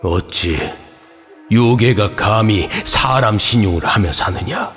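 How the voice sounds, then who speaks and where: A man's voice: on the low side, takes it slowly, a bit bright, in a large hall, soft background music. A man voicing a grave, commanding demon hunter from old Joseon, accusing in archaic speech within a horror story. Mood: stern accusation.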